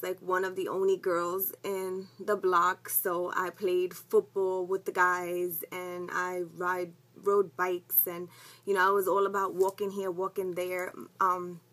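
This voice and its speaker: A woman's voice: fairly low pitch, a bit bright. A woman, chatting casually to camera at home as a vlogger, rambling with lots of ums and likes. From the Bronx, New York. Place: at home, indoors.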